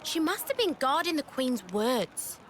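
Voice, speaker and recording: A woman's voice: high-pitched, big pitch swings, a little slow, a little hiss or hum. A woman playing a brash, cheeky young female fighter in a video game, with British slang and a blunt, cocky manner. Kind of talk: video game cutscene acting.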